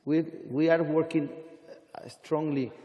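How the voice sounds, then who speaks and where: A man on an industry panel, speaking deliberately with halting, non-native phrasing. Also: a little high, fairly even intonation, lo-fi sound. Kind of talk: panel discussion. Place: conference hall stage. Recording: hall reverb from conference stage.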